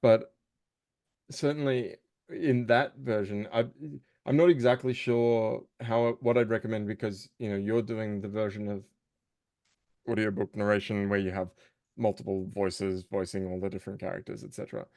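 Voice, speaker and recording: A man with a low, gravelly voice talks about his work as an audiobook narrator and voice actor. He chats casually, feeling his way through his thoughts with lots of fillers and restarts. The sound is dry and close. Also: steady tone, a little mellow, no echo. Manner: halting, thinks aloud with many fillers. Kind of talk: casual interview chat.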